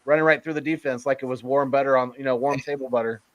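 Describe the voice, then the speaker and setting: A man's voice: medium pitch, fairly even intonation, quick pace, dry recording, lo-fi sound. A man talking casually as a sports fan, blunt and cursing about the team.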